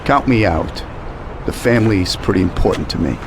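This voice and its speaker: An actor playing a terse, pragmatic and loyal mob enforcer in a video game. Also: male voice, sits low, lively intonation, normal speed, noisy background. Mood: guarded. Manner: terse, matter-of-fact. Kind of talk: video game cutscene acting.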